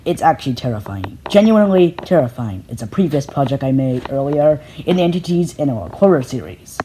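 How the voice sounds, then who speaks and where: A filmmaker narrating a behind-the-scenes vlog in a boastful, halting, repetitive way. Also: medium pitch, big pitch swings, noisy background. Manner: boastful, self-congratulatory. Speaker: filmmaker making analog horror videos.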